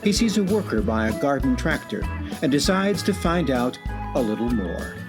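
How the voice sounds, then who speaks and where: An actor narrating a scripted radio play in a wry, deliberate, theatrical way. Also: male voice, mid-range voice, very animated, lightly acted. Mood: measured, wry. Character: wry, measured male storyteller narrator. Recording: clear music bed behind narration.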